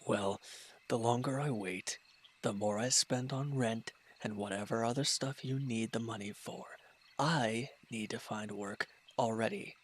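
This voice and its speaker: A man's voice: mid-range voice, unhurried, breathy edge. A man acting a proud, bitter, wounded villain in an audio roleplay, speaking in a curt, irritable, dismissive way. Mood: irritated, defensive. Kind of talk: dramatic roleplay audio monologue.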